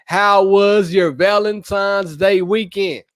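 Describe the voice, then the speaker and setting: A young man hosts a casual podcast in playful, slang-heavy banter and keeps the energy high. His voice sits high for a man. The sound is close and dry. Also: steady tone, takes it slowly, a bit bright, no echo. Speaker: podcast host. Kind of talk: casual chat with spouse.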